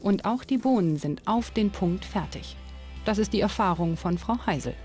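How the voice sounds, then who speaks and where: A woman's voice: mid-range voice, lively intonation, moderate pace, darkish tone. Woman narrating a documentary over music.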